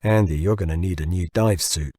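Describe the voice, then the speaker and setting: A man voicing a practical, reassuring friend of divers in an audiobook, brisk and matter-of-fact. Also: low voice, mellow, no room sound, clean studio sound.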